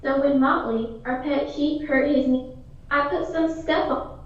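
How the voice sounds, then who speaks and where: Teen girl as earnest testimonial woman for a comic radio ad, high voice, heavy room echo. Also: steady tone, a little slow. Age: teenager. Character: earnest simple woman giving a product testimonial. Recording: echoey, far off, dull.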